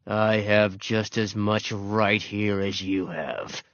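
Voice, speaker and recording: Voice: low-pitched, flat delivery, takes it slowly, dry recording, muffled recording. A narrator voicing a big, territorial bully of a dog with a menacing drawl in a children's storybook. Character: Ranger, big bullying territorial male Dalmatian, growling.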